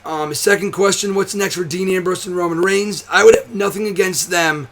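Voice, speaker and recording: A hoarse, tired young man hosting a wrestling show, chatting casually to camera with a nearly gone voice, rambling, swearing and restarting often. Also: high voice, normal speed, fairly bright tone. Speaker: wrestling commentary host. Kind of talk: casual to-camera vlog chat.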